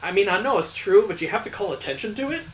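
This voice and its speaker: A man's voice: high voice, lively intonation, fast, a little hiss or hum. A man reading a visual novel aloud as a let's player, breaking in with sarcastic, incredulous asides. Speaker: video game let's player.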